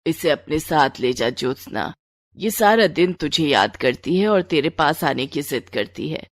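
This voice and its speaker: A woman's voice: low voice, animated, measured pace, no echo, voice pushed down. A woman voicing a caring, practical older mother-in-law in a cartoon moral story. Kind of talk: animated moral story dialogue.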